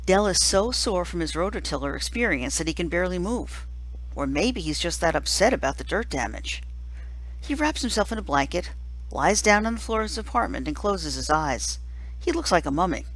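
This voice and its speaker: A woman reading a first-person children's novel aloud as scripted narration, in a measured storytelling manner. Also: fairly low pitch, a bit bright, breathy edge, rough recording. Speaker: reader, teacher.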